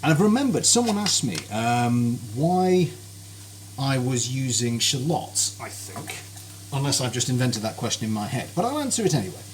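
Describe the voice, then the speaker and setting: A man's voice: medium pitch, very animated, normal speed. A man chatting cheerfully and politely as he cooks on a live stream in his kitchen, greeting viewers by name. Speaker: cooking streamer. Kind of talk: live cooking stream chat. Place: home kitchen. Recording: kitchen noise with sizzling frying.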